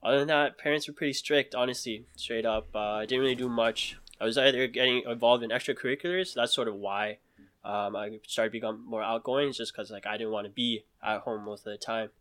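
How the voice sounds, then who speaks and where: A man's voice: mid-range voice, fairly even intonation, a little fast, a bit bright. A young man telling stories from his youth in a casual podcast chat, laid back, full of slang and laughing through his stories.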